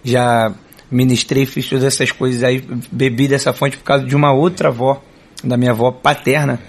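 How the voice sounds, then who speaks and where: A man's voice: medium pitch, level tone, moderate pace. A man with a Rio de Janeiro accent, speaking casually as a radio guest, informal, talkative and earnest. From Niterói, Rio de Janeiro state, Brazil. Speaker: interview guest, samba singer and musician. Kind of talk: casual chat.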